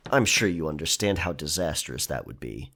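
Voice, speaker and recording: An actor playing a roguish, protective pirate in an audio drama, speaking in a measured, dry, politely threatening way. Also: male voice, very deep voice, lively intonation, vocal fry, fairly bright tone, heavily acted. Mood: dry, insistent. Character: roguish male pirate, politely menacing, protective, dryly teasing.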